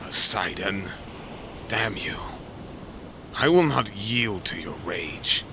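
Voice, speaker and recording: A commanding Greek captain-king, acted by a man, speaks in a lowish, deliberate voice. He is defiant and resolute, declaiming as in an epic audio drama. Loud sea and storm effects surround him. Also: animated, noisy background. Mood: furious, defiant.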